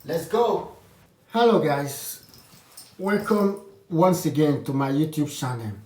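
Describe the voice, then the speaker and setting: Man, vlogger, frank and opinionated, somewhat high voice, roomy echo. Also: lively intonation, slow pace, a little mellow. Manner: frank, opinionated, with frequent restarts. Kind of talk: casual advice talk to camera. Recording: roomy echo, distant from the mic.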